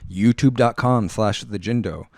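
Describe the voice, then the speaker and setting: A man hosting a casual live radio talk show, rambling through listener comments in a halting way and often repeating words and phrases. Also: fairly low pitch, creaky, a little mellow, no echo, clean studio sound.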